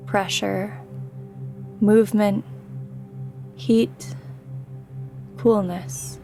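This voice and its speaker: A woman leads a guided sleep meditation at a very slow, unhurried pace. Her mid-pitched voice stays flat and even, gently instructing the listener. Soft music plays behind her. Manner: gentle, soothing, unhurried instruction with long pauses.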